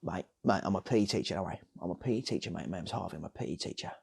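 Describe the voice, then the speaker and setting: A man's voice: low voice, very fast, vocal fry, darkish tone, slightly rough, pitched below the performer's own voice, heavily acted. A man playing a laid-back, matey PE teacher in an improvised comedy story, with casual, repetitive talk. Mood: pleading, matey. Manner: casual, repeats himself, says mate a lot.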